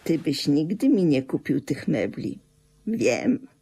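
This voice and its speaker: An actress playing a callous, self-absorbed older wife in a radio drama, with a matter-of-fact, dismissive manner. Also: female voice, low voice, big pitch swings, takes it slowly, vocal fry, dark tone, slight background noise, exaggerated voice. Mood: cold, spiteful.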